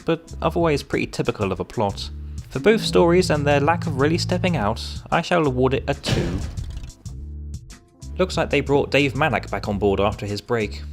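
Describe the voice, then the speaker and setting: A man delivering a scripted review narration as a reviewer, with sardonic, wry asides. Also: fairly high pitch. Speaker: comic book reviewer.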